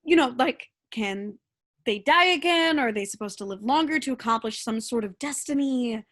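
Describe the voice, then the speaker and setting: A woman's voice: fairly high pitch, expressive, moderate pace, fairly bright tone. A woman acting an earnest, persuasive, devoted friend in a staged reading of a comic play. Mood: exasperated, insistent.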